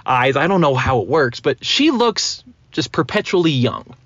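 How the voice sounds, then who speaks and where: A man hosts a podcast in a casual, conversational way. His voice sits at a middle pitch. The recording is a little dull and lo-fi. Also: sing-song, normal speed, fairly bright tone, breathy edge. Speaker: podcast host. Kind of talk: casual chat. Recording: slightly dull, lo-fi sound.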